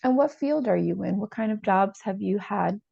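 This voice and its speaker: A woman playing a calm, probing therapist in a role-play, measured and tentative as she reflects back. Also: medium pitch, vocal fry, breathy edge, no echo, dull audio, full character voice. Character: calm, probing female therapist leading a session.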